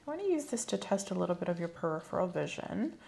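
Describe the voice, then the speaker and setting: A woman plays a medical examiner in a soft-spoken ASMR roleplay. Her voice has noticeable fry and often slips toward a whisper, delivered in a gentle, measured, clinical way, with faint noise behind it. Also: lowish voice, very animated. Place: small indoor room set up as an exam office.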